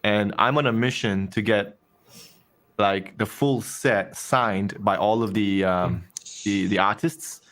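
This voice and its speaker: Malaysian man, low-pitched, easygoing guest on a video call, laughs often. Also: fairly even intonation, takes it slowly, fairly bright tone. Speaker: guest collector. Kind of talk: casual video call chat.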